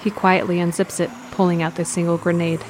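A woman's voice: on the low side, level tone, a little fast, a little mellow, slight background noise. A woman reading scripted present-tense narration for an audio drama.

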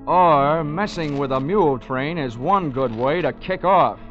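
An actor playing a flippant, deadpan insurance investigator who narrates his own case in a 1940s radio drama. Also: on the high side, unhurried, natural voice. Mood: wry, flippant. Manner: wry, flippant, deadpan wisecracking. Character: wisecracking male freelance insurance investigator. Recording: muffled 1940s radio recording, music behind.

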